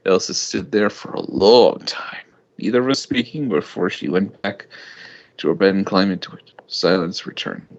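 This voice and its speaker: A man's voice: low voice, normal speed, dull audio. A young man giving a jokey, hammy amateur reading of a story. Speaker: amateur fan fiction reader.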